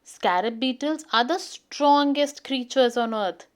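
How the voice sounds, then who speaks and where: Woman doing a know-lots dad from a kids book, encouraging, emphatic, high voice, unhurried. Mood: impressed, explaining. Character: knowledgeable, encouraging father pointing out wonders. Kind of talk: children's storybook character reading.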